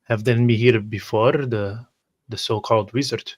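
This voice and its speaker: A man playing a tabletop roleplaying game, speaking in character and describing his actions in a polite, measured, hesitant way. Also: medium pitch, steady tone, dry recording.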